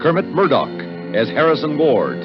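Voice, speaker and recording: Over music on a muffled old radio recording, an American man speaks as a vintage announcer. His formal credit reading has lively, swinging intonation. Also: on the high side, normal speed.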